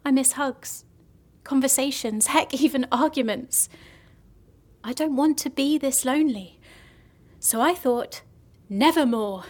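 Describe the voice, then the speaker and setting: A woman's voice: sits high, unhurried, pauses often, darkish tone. An actress playing a lonely, gloomy young woman in an audio drama comedy, telling morbid stories earnestly and hesitantly. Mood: lonely, pleading.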